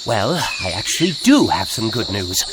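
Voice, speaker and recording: An actor playing an earnest, precise scientist who explains things technically in an animated game. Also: male voice, on the low side, normal speed, noisy background. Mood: hopeful, earnest. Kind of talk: animated action game cutscene acting. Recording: loud outdoor ambience behind.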